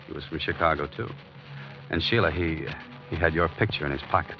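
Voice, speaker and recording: Cocky, low-voiced snooping investigator. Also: male voice, a little fast, music in the background. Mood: smooth, persistent. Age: young adult. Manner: wry, insinuating, breezy. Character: cocky, nosy male investigator, wry and persistent. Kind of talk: 1940s radio drama acting. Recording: muffled, hissy old radio recording.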